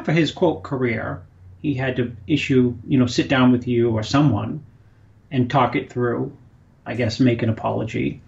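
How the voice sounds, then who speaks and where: Gravelly man co-hosting, dull lo-fi sound. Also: mid-range voice, a little mellow. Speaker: co-host. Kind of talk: casual radio show talk.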